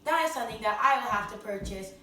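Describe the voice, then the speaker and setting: A woman's voice: fairly high pitch, animated, fast talker, lightly acted. A young woman acting as a chirpy, theatrical 1920s radio show host who uses old-time slang. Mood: gracious, chatty. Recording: roomy, distant mic, faint noise.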